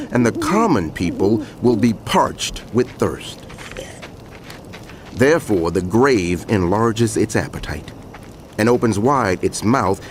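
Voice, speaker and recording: A man's voice: fairly low pitch, slight background noise. A man playing a solemn prophet who proclaims woe in an emphatic, declamatory way in dramatized scripture narration.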